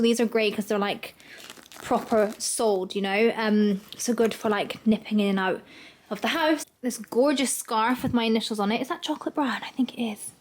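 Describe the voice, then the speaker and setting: A woman's voice: medium pitch, slight background noise. A woman, chatting casually in a vlog, gushing and full of fillers, a bit congested from a head cold. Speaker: lifestyle vlogger. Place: hotel room indoors. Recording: echoey room.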